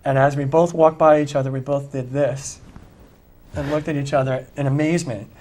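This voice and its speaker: A man of middle pitch speaks earnestly about his music, a tribute band player being interviewed. He talks in a reflective, sincere way, taking his time with his thoughts. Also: fairly even intonation, brisk, a little mellow. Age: adult with children. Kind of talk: interview conversation.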